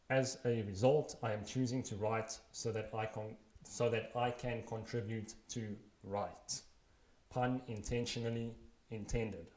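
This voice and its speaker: Male, 30s, South African accent, reading a personal blog essay. Earnest, measured, wry; roomy, echoing recording. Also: mid-range voice, darkish tone. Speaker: blogger reading his own post aloud.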